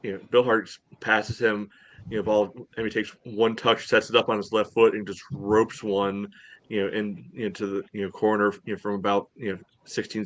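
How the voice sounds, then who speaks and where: A man talking through a soccer match casually as a podcast host, in a halting way peppered with "you know.". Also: lowish voice.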